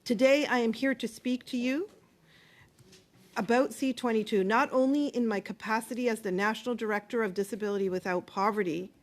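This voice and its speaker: A woman giving earnest, impassioned testimony as a witness at a committee hearing, with a few hesitations, speaking into a desk microphone. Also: a little high. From Canada. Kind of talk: formal testimony.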